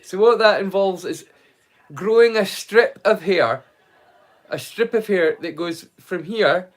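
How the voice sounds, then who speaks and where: A man's voice: high-pitched, takes it slowly. A man hosting a casual livestream, chatting to viewers in a rambling, stop-start way and repeating words mid-sentence. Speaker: livestream host.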